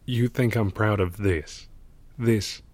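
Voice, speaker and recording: Man acting a slow, low-voiced gloomy old painter. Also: darkish tone, no echo. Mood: bitter, gloomy. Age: older. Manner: sardonic, world-weary, deliberate. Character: gloomy, cynical old male mural painter. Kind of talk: audio drama acting.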